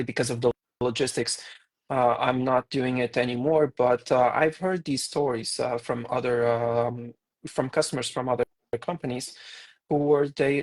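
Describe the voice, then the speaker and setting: Portuguese-accented male tour guide, mid-pitched, relaxed podcast chatter, lots of ums. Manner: hesitant, many fillers. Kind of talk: casual podcast conversation.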